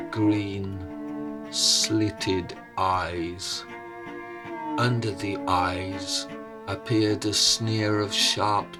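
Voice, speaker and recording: A man telling a tale as a storyteller, in a measured, theatrical way with suspenseful pauses. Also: lowish voice. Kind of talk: dramatic oral storytelling over music. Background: instrumental music.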